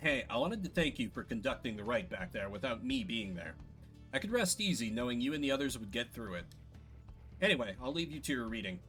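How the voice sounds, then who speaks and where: A man plays a young exile companion from a fantasy game, kindly and upbeat. He talks casually and easily, with animated intonation. Faint music plays underneath. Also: medium pitch, brisk. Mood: easygoing, grateful. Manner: casual, friendly phrasing.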